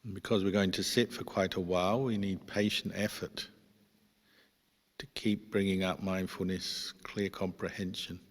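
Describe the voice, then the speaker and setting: A man, a Buddhist monk, guiding a meditation with measured instructions and pauses between short phrases. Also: low-pitched, flat delivery. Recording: long hall-like reverb.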